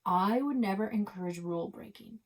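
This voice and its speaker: A woman's voice: mid-range voice, a little fast, a little mellow, lightly acted. A woman voicing a bookish, boyishly enthusiastic father and history buff from a children's novel, eager to explain. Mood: conspiratorial.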